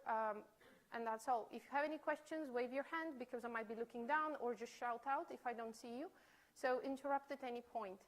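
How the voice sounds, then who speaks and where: Higher-pitched woman leading a study workshop. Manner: friendly, explanatory, with frequent fillers. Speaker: study skills advisor. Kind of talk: workshop presentation. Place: lecture room.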